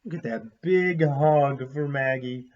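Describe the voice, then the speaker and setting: A man reading a children's book aloud like a teacher, in an animated, emphatic storytelling way with asides to young listeners. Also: mid-range voice, slow pace, dull audio.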